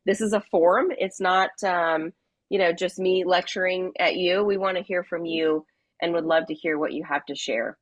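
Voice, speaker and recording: A woman hosting a live webinar, talking in a friendly, chatty way with many fillers, slightly raspy from a cold. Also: fairly low pitch, steady tone, normal speed, no room sound, dull audio. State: hoarse from a cold. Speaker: webinar host.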